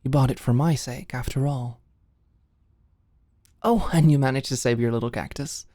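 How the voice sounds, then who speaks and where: A woman's voice: very deep voice, normal speed, warm tone, no room sound, studio quality. A woman playing a flustered, lovestruck office ex-employee, hesitant and rambling, in a scripted ASMR audio roleplay. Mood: flustered, eager.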